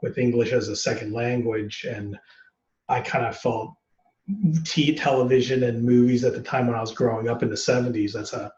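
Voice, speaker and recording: A man's voice: medium pitch, normal speed. A man in his forties, an actor guest on a podcast, chatting casually and rambling with lots of "you know" and "um.". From United States. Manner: relaxed, rambling, many "you know" and "um" fillers. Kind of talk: casual interview chat. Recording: roomy, muffled recording.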